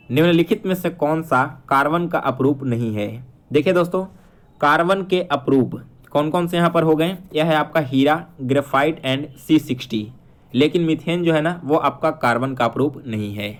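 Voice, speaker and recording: A male teacher with a fairly high-pitched voice walks through exam questions. He reads each one out, then explains the answer in an instructional way. He speaks straight to his students and often checks that they follow. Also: moderate pace. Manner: instructional, addresses viewers directly with frequent check-ins.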